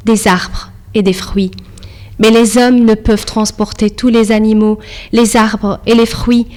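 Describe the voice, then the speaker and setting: A woman who is a native French speaker, hosting an interview and reading poetry. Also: fairly high pitch. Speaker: interview host.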